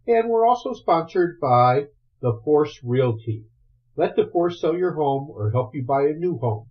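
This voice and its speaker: A man's voice: a little high, animated, measured pace, dull audio. A man reading scripted radio sponsor messages as an announcer.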